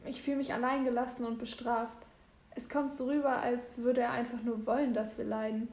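A woman's voice: on the high side, flat delivery, brisk, darkish tone, echoey room. A nineteen-year-old woman who volunteers in a hospital, speaking earnestly and asking questions.

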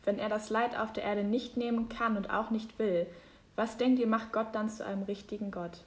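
A woman's voice: medium pitch, steady tone, moderate pace. A woman hosting a podcast, friendly and reading her moderation from a script. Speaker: podcast host.

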